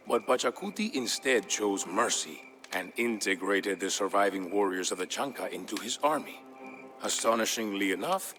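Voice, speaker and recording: An actor playing a male narrator who recounts an old legend in a measured, scripted storybook manner for a video game. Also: on the low side, close to an everyday voice.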